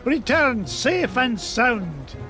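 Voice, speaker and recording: A man playing an elderly, wealthy duck uncle with a Scottish accent, brisk and chuckling, over game music. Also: very high-pitched, very animated, pushed and bright, heavily acted. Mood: fond. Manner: brisk, brogue-tinged, chuckling. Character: elderly rich Scottish duck uncle, gruff but fond.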